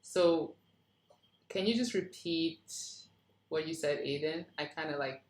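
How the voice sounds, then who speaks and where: A woman with a low-pitched voice leads an informal group discussion. She responds in an encouraging, appreciative way. Her voice carries strong room echo. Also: a little slow, darkish tone. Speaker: writer leading the feedback session. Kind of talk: casual workshop discussion. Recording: echoey room.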